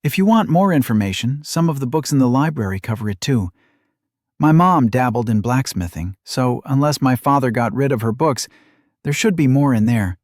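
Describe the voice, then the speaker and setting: A man's voice: mid-range voice, darkish tone, no room sound, studio quality, pitched above the performer's own voice, lightly acted. A man voicing a confident, teasing young woman adventurer with a sarcastic, bantering manner in an audiobook.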